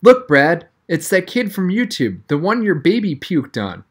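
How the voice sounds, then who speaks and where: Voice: mid-range voice, sing-song, measured pace, breathy edge, voice pushed up. A reader voicing a bemused tank crewman, an adult man with a wry, surprised manner, in an audiobook story. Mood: amused.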